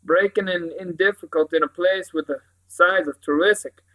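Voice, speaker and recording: Man playing a folksy, loud village police sergeant. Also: high voice, normal speed, pitched above the performer's own voice, poor audio. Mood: chatty. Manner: folksy, confident, drops words. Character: middle-aged male village police sergeant, folksy and unhurried. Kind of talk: audiobook character voice.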